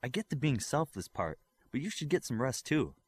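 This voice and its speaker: Concerned, curious young heroine for a superhero audiobook, read by a man, gently raised pitch. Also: sing-song, normal speed, breathy edge. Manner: inquisitive, concerned. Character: young female superhero healer, caring and curious.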